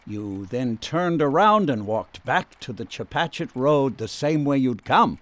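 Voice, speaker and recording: An actor in a radio drama playing a patient, gently persistent psychiatrist questioning someone. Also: male voice, mid-range voice, expressive, measured pace. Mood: probing, persistent.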